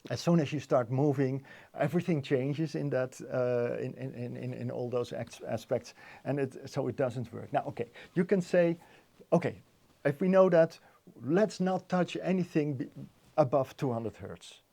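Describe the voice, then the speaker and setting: Mellow-voiced man explaining technical matters. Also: medium pitch, vocal fry. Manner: halting, repeats words while thinking. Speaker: interview guest, loudspeaker designer.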